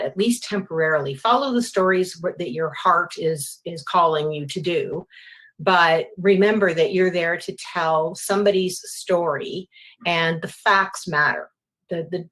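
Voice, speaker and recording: A woman's voice: fairly low pitch, a bit bright. An older woman, a retired journalism professor, answering interview questions thoughtfully and often repeating words as she thinks.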